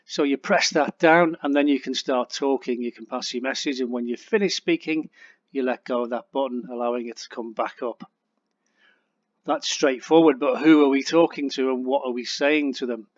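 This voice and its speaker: Male instructor for an aviation tutorial, mid-pitched, casual and plain in explanation. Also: moderate pace, no echo, lo-fi sound. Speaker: flight radio instructor. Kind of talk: casual instructional narration over flight simulator.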